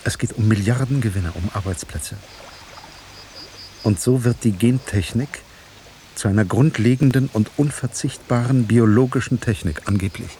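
A man's voice: mid-range voice, normal speed, mellow, lightly acted. Man acting skeptical, brooding journalist, noisy backdrop. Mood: urgent, brooding. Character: frustrated, skeptical, probing male investigative journalist. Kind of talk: German radio play acting.

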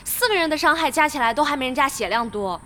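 A scornful young gamer in an audio drama. She mocks in a high, very animated voice with bold swings. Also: quick pace, a little hiss or hum. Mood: mocking. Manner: mocking, animated.

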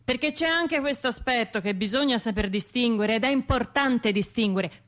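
A woman's voice: a little high, a bit bright, close to an everyday voice. A woman voicing a blunt, reproving male heckler. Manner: measured, pointed, condescending. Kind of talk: radio drama monologue.

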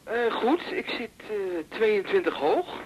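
An actor playing an affectionate, breezy husband on a long-distance call in a naturalistic radio drama, chatting in a husky voice. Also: male voice, high voice, very animated, slow pace, dull audio, exaggerated voice. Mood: casual, affectionate.

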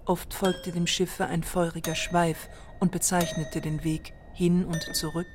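Slow, low-voiced female narrator. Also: little pitch movement, background noise. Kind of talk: scripted literary narration in a radio play. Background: music.

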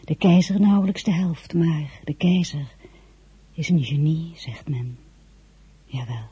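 A woman's voice: sits low, a little slow, warm tone, slightly rough, lo-fi sound, heavily acted. An actress playing a proud, guarded lady in a radio drama, speaking in a restrained, formal way. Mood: pensive, lonely. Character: proud, guarded lady, emperor's former love. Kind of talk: dramatic radio-play acting and narration.

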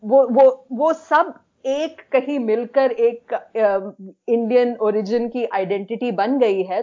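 An Indian woman co-hosting a podcast in a conversational, thoughtful way, often pausing to find her words. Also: fairly high pitch, darkish tone. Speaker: podcast co-host. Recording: dull, lo-fi audio.

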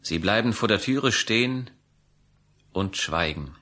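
A man's voice: fairly low pitch, expressive, slow pace, pushed and bright, exaggerated voice. A man narrating a radio drama as one of a chorus, in solemn, declamatory poetic statements.

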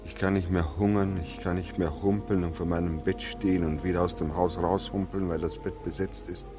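A man's voice: low-pitched, fairly even intonation, a little fast, music in the background, natural voice. An actor playing a weary, desperate man in his twenties in a 1940s radio drama. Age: 20s. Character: despairing, weary 25-year-old war returnee wanting to die. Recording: vintage 1940s radio recording.

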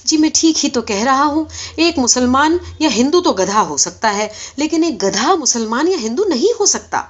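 Woman voicing a pleading talking donkey, animated intonation. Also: high-pitched, pitched above the performer's own voice. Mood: earnest protest. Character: talking male donkey, pleading, reasonable and wry. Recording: dull, lo-fi recording.

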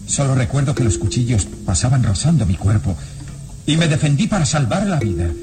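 An actor playing a shaken, exhausted, defensive man in a radio serial, speaking haltingly and repeating his words dramatically. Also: medium pitch, animated, natural voice. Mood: agitated, explaining. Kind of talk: vintage radio serial acting. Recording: old radio serial with loud music bed.

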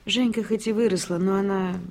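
A woman's voice: medium pitch, steady tone, brisk, darkish tone, slight background noise, natural voice. An actress playing an anxious, polite middle-aged mother in a radio drama, speaking earnestly and apologetically. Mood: apologetic worry.

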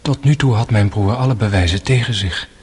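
Husky, low-voiced man narrating. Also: brisk, a little mellow. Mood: sombre. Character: sombre narrating brother of the accused man. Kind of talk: scripted radio drama narration.

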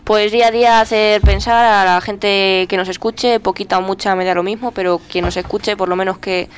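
A woman's voice: medium pitch, fairly even intonation, moderate pace, slight background noise. A woman with an accent from Spain, co-hosting a radio programme in a casual, conversational style. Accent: Spanish from Spain. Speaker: radio co-host. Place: radio programme with music behind.

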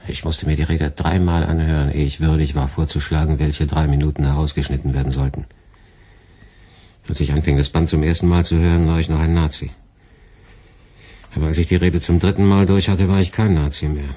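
An ironic, lecturing boss, very deep, dark, husky; radio play. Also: male voice, fairly even intonation, brisk. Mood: sardonic. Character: sardonic middle-aged male boss, lecturing. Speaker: department head.

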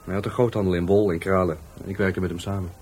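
An actor with a Netherlands Dutch accent playing a touchy, defiant law-school dropout in a radio play, by turns hesitant and scornful. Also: male voice, sits low, fairly even intonation, fast talker, a little mellow, slight background noise, lightly acted. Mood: hesitant, explaining. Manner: hesitant, then scornful and argumentative. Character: defiant, touchy young male law-school dropout, murder victim's helper.

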